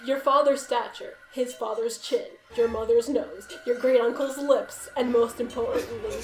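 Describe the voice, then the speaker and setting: A woman's voice: fairly high pitch, fairly even intonation, moderate pace. A woman with a giggly, playful manner narrating a comic story as a dramatic reading among friends. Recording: roomy echo with faint music.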